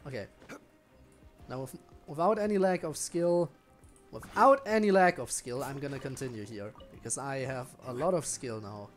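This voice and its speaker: A man's voice: mid-range voice, moderate pace, soft background music. A man giving casual gameplay commentary as a streamer, dryly talking to himself. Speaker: game streamer.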